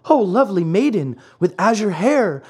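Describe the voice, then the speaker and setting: A man's voice: high-pitched, very animated, normal speed, darkish tone, breathy edge, voice pushed up, heavily acted. A man voicing a desperate wooden puppet boy in a storybook reading, pleading in an urgent, beseeching way. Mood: frantic pleading.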